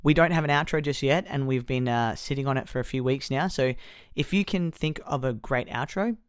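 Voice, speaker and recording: A man's voice: mid-range voice, brisk, clean tone, no room sound, studio quality. A man co-hosting a podcast, easygoing and chatty with frequent ums. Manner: easygoing, many ums and restarts. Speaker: podcast co-host. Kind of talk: casual chat.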